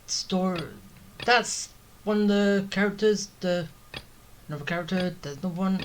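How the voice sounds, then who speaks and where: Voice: medium pitch, normal speed. A video game player giving casual commentary, hesitant, repeating words and muttering to themselves. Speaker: gaming streamer, player.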